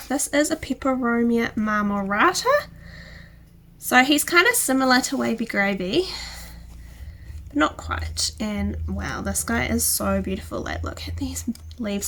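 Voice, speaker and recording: A young New Zealand woman talks to camera in a fairly high voice, a little croaky from a recent cold. She is chatty and enthusiastic, and her voice often sinks to a soft near-whisper. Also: slight background noise. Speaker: plant vlogger. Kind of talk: casual chat to camera. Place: indoor room with plant shelves. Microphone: camera close in front.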